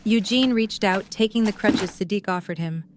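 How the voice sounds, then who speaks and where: A woman's voice: mid-range voice, normal speed, background noise. A woman reading scripted story narration as the narrator of an audio drama.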